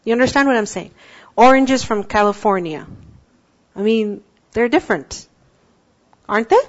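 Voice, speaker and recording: Woman, Quran teacher, quizzes her class and answers herself; muffled lo-fi recording. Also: on the high side. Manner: asks the class questions and answers them herself; drawn-out Arabic recitation. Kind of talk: Quran tafsir lecture. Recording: dull lo-fi recording.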